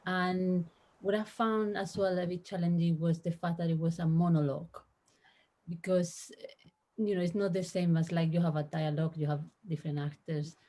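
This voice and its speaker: A woman from Spain with a Spanish accent, a native Spanish speaker, explaining her work in an informal panel discussion, often pausing to search for words. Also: lowish voice, steady tone, dark tone. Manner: halting, searching for words, frequent "you know". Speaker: translator.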